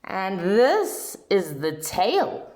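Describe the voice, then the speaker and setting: Woman, children's storyteller, very unhurried, swooping animated pitch, dramatic drawn-out phrasing. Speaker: storyteller reading a children's picture book. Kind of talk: expressive read-aloud of a rhyming children's story.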